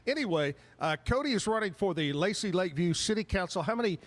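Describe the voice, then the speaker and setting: Chatty high-voiced host. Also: male voice, expressive, fast. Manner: easygoing, chatty, laughs often. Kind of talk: live talk show interview.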